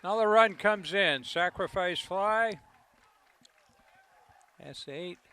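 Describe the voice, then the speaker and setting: Man, local baseball play-by-play, fairly high pitch, voice going hoarse, low-key. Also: slow, sharp tone. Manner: low-key, conversational calls. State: losing his voice. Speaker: play-by-play commentator.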